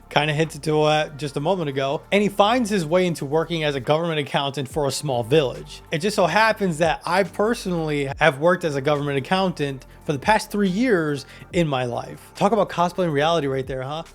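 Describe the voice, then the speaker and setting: A man's voice: a little high, a little fast. A man narrating a scripted explainer as an author, in a formal, emphatic, point-by-point presenter's delivery. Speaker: author presenting own fantasy story. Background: background music.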